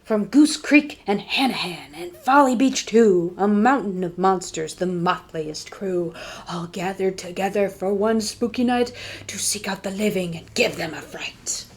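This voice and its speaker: Expressive woman reading a children's story. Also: medium pitch, measured pace, breathy edge.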